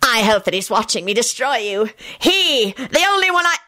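A woman voicing the melodramatic undead skull of a male dark wizard in a triumphant, theatrical scream for a story. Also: fairly high pitch, very animated, normal speed, pitched above the performer's own voice. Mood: triumphant, menacing.

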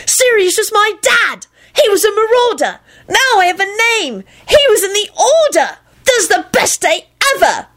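Woman acting excitable high-voiced lad. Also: a little fast, few pauses, exaggerated voice. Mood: elated. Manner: shouting, shrieking. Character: Timothy, excitable, dramatic young male.

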